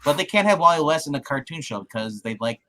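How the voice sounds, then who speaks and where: Slightly high-voiced man in fan chat. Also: lively intonation, quick pace, pushed and bright. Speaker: panelist. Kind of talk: casual comic fan chat.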